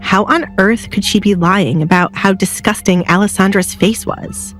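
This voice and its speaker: A woman's voice: medium pitch, fairly even intonation, normal speed, fairly bright tone, faint music behind. A woman reading scripted narration for a romance audio drama.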